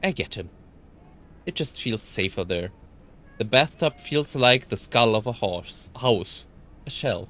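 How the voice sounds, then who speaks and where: A German man with a German accent reading first-person visual novel text aloud as a let's player, in an expressive way. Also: medium pitch, measured pace.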